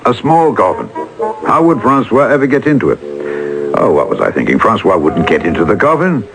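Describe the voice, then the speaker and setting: An actor playing an obsequious, excitable schemer in an old-time radio drama, fawning and hesitant. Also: male voice, fairly low pitch, fairly quick, over music, poor audio. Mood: wry narration. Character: obsequious, flustered male schemer covering up a hoax. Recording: vintage radio drama recording.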